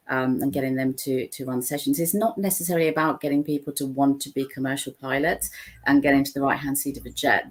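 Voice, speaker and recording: Low-voiced woman on a video call. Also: low-quality recording. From Sri Lanka. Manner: chatty, warm, many fillers and restarts. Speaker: guest, founder of an aviation charity. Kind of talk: casual video-call interview. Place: home room with bookshelves. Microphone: laptop webcam on a video call.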